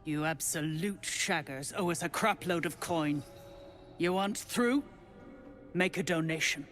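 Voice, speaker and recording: A man's voice: a little high, measured pace, fairly bright tone, soft background music. A man playing a foul-mouthed, greedy dwarf thug in a fantasy game, talking in a coarse, threatening way. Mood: surly, demanding.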